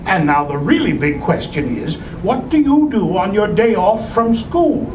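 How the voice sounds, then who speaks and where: Kindly old Santa marionette, acted by a performer, encouraging and lively, heard in an echoey puppet theatre. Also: mid-range voice, unhurried, a little hiss or hum. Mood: kindly, coaxing. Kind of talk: children's puppet musical acting. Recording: roomy puppet theatre, distant, loud music.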